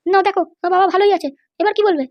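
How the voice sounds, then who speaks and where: নারী অভিনীত অতি চড়া গলার অভিমানী বউ. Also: female voice, very high-pitched, rapid-fire, dry recording, lo-fi sound, pitched above the performer's own voice. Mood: indignant. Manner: complaining, accusatory. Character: shrill, sulky young wife, accusing her in-laws. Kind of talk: comedy cartoon dialogue.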